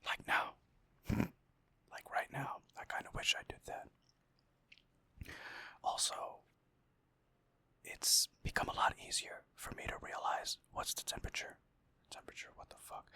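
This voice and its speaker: A man's voice: medium pitch, bright, forceful tone, dry recording. A young man whispering close to the mic in a rambling, casual ASMR chat over gameplay. He mocks himself and swears now and then. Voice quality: breathy whisper. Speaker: ASMR gaming creator.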